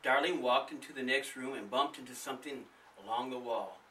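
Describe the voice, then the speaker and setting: A man's voice: mid-range voice, fairly quick, sharp tone, slight background noise. A man reading his own spooky story in a dramatic storytelling style. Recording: echoey room, distant microphone.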